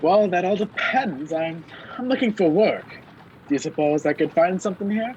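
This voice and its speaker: A man playing a polite, quick-witted fugitive wrongly on the run, in a radio play recorded over a video call. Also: high-pitched, a little mellow, lightly acted. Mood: evasive. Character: hunted young man, polite, quick-talking, indignant fugitive. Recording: dull, noisy home video call.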